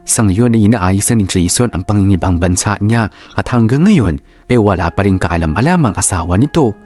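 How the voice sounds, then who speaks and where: A man with a Filipino accent performing a letter writer's family story as measured, solemn radio drama narration. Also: on the low side, a little mellow. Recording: music bed under voice.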